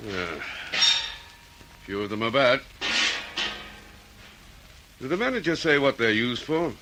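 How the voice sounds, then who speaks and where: A man's voice: medium pitch, big pitch swings, slow, over loud music, rough recording. A man playing a laconic, inquisitive senior detective in an old radio drama, musing and asking probing questions. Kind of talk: 1940s radio drama acting. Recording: vintage radio recording, faint noise.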